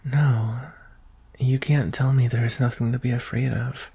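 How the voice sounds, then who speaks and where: A performer voicing a shy, anxious young alien prince in an ASMR roleplay, speaking softly and hesitantly in a breathy, husky half-whisper. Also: mid-range voice, fairly even intonation, heavily acted. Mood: scared, challenging. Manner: hesitant, halting, tender. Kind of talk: ASMR roleplay, soft intimate delivery.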